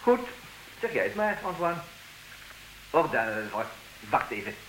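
An actor playing a suave, condescending, idle wealthy bachelor in a comedic radio play, with theatrical mock politeness. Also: male voice, on the high side, very animated, slow pace, fairly bright tone, full character voice, poor audio. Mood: breezy, commanding. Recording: hissy old radio drama recording.

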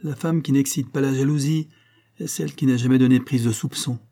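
A man's voice: mid-range voice, fairly even intonation, brisk, warm tone, lightly acted. A man voicing a cruel, mocking husband in a dramatic audiobook, sneering and coldly domineering. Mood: smug irony. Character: cruel, mocking estranged husband, menacing and smug.

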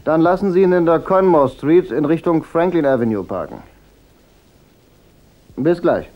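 An actor playing an unflappable, wisecracking private detective in a German radio crime drama, dry and laconic. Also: male voice, a little high, expressive, normal speed, phone-quality sound, close to an everyday voice. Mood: businesslike. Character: wry, unflappable hard-boiled male private detective. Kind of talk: German radio play acting.

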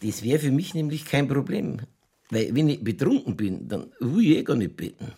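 A man playing a boozy, world-weary middle-aged police chief in a radio play, with a Lower Bavarian accent and a rambling, tipsy, cynically jovial delivery. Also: sing-song, normal speed, mellow, a little hiss or hum. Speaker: actor in a crime radio play. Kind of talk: naturalistic German radio drama dialogue.

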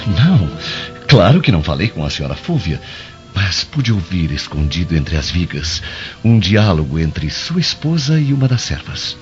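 An actor playing a sly, obsequious Roman officer in a Brazilian radio soap, with an oily, conspiratorial manner. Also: male voice, low-pitched, very animated, normal speed. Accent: Brazilian Portuguese. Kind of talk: radio soap opera acting. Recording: dull lo-fi radio drama, music behind.

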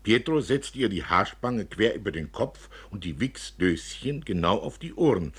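A man reading a literary story as narrator, expressively, with dramatic and deliberate phrasing. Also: on the low side, lively intonation, slightly rough. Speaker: narrator, reader.

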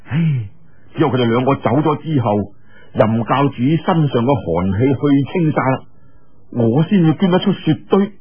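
Guy doing lovesick young swordsman thinking to himself, anxious, sighing, husky, swingy pitch. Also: expressive, normal speed, phone-quality sound. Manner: sighing, exclaiming. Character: lovelorn young swordsman, anxious, self-mocking inner voice.

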